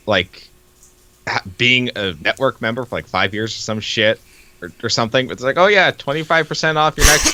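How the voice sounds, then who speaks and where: A man's voice: medium pitch, sharp tone, no room sound. A man co-hosting in casual banter, blunt and given to swearing. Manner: blunt, profane. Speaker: co-host.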